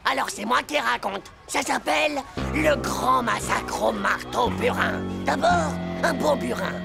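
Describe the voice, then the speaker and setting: A woman's voice: fairly high pitch, a little slow, music in the background. A woman voicing a hot-headed, boastful schoolboy in a cartoon, with brash, slangy, grandiose delivery. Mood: excited storytelling. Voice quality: gravelly, creaky. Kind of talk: French animated cartoon voice acting.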